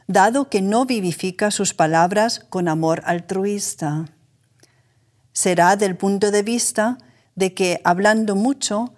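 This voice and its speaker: Woman reading spiritual text real slow, solemn and measured. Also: mid-range voice, darkish tone. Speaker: reader. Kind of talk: scripted religious reading.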